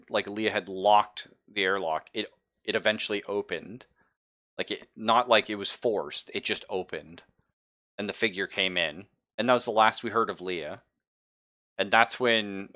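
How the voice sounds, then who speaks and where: Bright-voiced man running a tabletop game. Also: deep voice. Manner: informal, sweary. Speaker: game master. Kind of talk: casual narration of a tabletop roleplaying game. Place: online game session, streamed.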